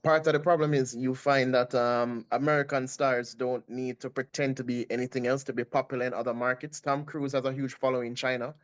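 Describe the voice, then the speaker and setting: A man with a Jamaican accent talks on a casual panel. He lays out his case calmly and logically, and his pitch sits in an ordinary middle range. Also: fairly quick, hardly any pauses. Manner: reasoned, calls himself a numbers guy.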